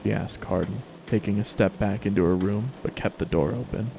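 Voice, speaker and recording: A male narrator gives a dramatic story reading. His voice is low, with plenty of vocal fry, and music is clearly audible behind him. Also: brisk.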